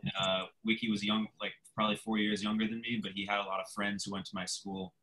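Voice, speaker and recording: A man's voice: lowish voice, little pitch movement, pushed and bright, poor audio. A man from New York with a New York accent, telling a story eagerly on a video call. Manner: eager storyteller, quick bursts. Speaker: challenge creator guest. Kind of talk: casual video call chat.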